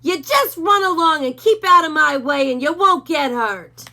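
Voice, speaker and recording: A bullying male Doberman, as a woman reads him, drawls his threats slowly and with a snarl. The voice sits high and bright for a tough guy, cocky and sure he will win. A storybook villain. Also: expressive, gravelly, exaggerated voice. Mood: menacing.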